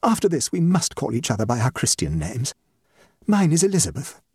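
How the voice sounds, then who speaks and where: A man's voice: mid-range voice, huge pitch swings, brisk, darkish tone, slightly rough, no room sound, studio quality, pitched above the performer's own voice, full character voice. A man voicing a middle-aged society hostess, an anxious matchmaking mother with an emphatic, effusive manner, in a comic story. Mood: grateful, effusive. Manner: emphatic, effusive, self-dramatizing. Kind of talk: comic short story reading.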